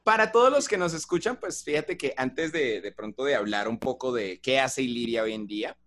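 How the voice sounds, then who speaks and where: A man hosting an interview podcast, greeting listeners warmly and asking questions with small fillers. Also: mid-range voice, lively intonation, a little fast, dry recording. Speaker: podcast host.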